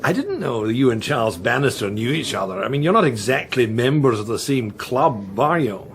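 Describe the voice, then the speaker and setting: An actor playing a nosy gossip who teases and insinuates with feigned innocence. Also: male voice, medium pitch, big pitch swings, a little fast. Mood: slyly needling. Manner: sly, teasing, feigned innocence. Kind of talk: British political drama acting.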